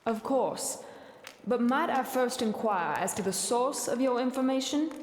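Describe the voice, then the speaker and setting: An actress playing a proud, diplomatic elf military commander in a fantasy audio drama, speaking with measured, courteous formality. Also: female voice, on the high side, expressive, darkish tone. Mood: measured, probing. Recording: echoey chamber reverb, faint noise.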